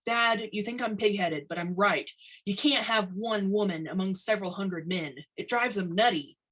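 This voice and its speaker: A woman's voice: medium pitch, normal speed, a bit bright, husky, low-quality recording. A woman voicing a stubborn, harried male construction boss with a blunt, emphatic manner in a storybook reading.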